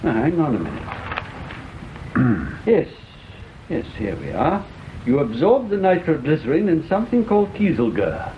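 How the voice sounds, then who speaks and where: A man's voice: a little high, wildly swooping pitch, a little slow, long pauses. Erudite wry Englishman, thin old radio sound. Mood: genial, wry. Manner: pedantic, wry, leisurely musing. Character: erudite, dryly witty older Englishman, chess-playing amateur scientist. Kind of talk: radio drama acting. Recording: thin phone-like old radio recording, faint noise.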